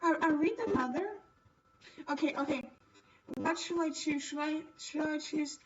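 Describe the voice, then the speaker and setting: A woman's voice: high-pitched, fairly even intonation, moderate pace, recorded from a distance, muffled recording, slight background noise. A woman livestreaming a game, chatting casually and playfully with her viewers. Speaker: livestreamer playing a visual novel. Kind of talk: casual chat while gaming.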